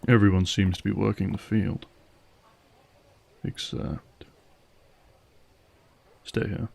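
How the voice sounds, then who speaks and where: A man plays a guarded monster hunter in a fantasy roleplay. His voice is fairly low with a heavy creak. He speaks in a terse, dry manner. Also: fairly even intonation, slow pace, a little mellow. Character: wry, guarded male vampire hunter, gruff protective ally. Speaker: voice actor playing a vampire hunter. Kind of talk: fantasy audio roleplay acting.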